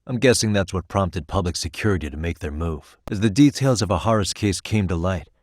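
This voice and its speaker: A man playing an earnest, tough, principled private detective in a video game, speaking in a measured, probing way. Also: low voice, no echo, clean studio sound. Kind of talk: dramatic video game voice acting.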